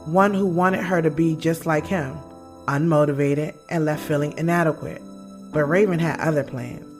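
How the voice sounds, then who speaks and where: A woman's voice: deep voice, close to an everyday voice. An actress playing a candid, loyal woman who narrates her own story in an audio drama, in a conversational, slangy and frank way. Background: music.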